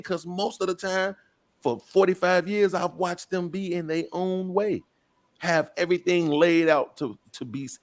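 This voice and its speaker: A middle-aged man on a podcast panel, talking bluntly and profanely in a preachy rant. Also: on the high side.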